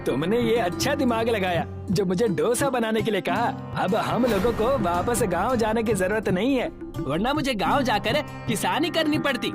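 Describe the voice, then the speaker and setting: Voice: medium pitch, expressive, moderate pace, lightly acted. A narrator playing a humble, worried, earnest male South Indian dosa street vendor in a cartoon story, often ending phrases with a respectful tag. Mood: hopeful. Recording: music behind the voice.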